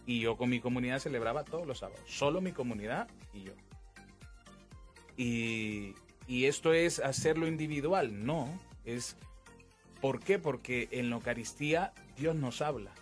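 A man's voice: mid-range voice, expressive, normal speed. Emphatic young man, music underneath. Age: 20s. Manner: emphatic, repeats phrases, acts out quotes. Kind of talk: informal explanatory religious conversation.

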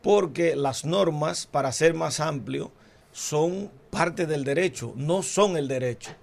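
Brief: male priest guest, gravelly and slightly high, measured explainer. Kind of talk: explanatory talk.

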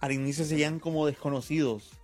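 A man with a somewhat high voice speaks as the host of a religious conversation. He asks and comments in a conversational style. Soft music plays under his voice. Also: steady tone, quick pace. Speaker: interviewer, host. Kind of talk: conversational interviewing about religion.

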